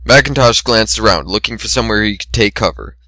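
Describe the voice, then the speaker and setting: A man's voice: fairly low pitch, animated, fairly quick, pushed and bright, no echo. A man narrating a story as an amateur dramatic reading of fan fiction.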